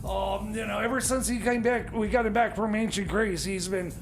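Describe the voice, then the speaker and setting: Guy doing excitable rambling inventor neighbor on a radio skit, super high creaky voice, stammers and repeats himself. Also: brisk, noisy background. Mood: musing, suspicious. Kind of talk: improvised comic radio skit.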